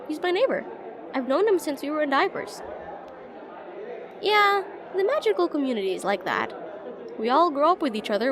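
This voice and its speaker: A woman playing a shy, rambling young witch student in a slice-of-life fantasy audio roleplay, chatty, hesitant and self-deprecating. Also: fairly high pitch. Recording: voice over busy classroom crowd ambience.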